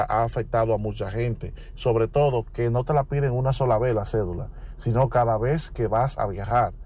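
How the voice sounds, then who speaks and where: A man, an expert guest on a radio show, calmly and methodically explaining a procedure. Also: medium pitch.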